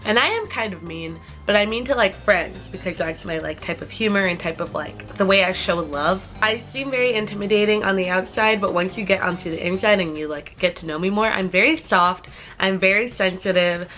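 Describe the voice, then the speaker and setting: Woman, 20s, vlogger. Casual rambling Q&A to camera, heavy on fillers, mid pitch, light music bed. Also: a little fast, fairly bright tone. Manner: chatty, rambling with many "like" fillers.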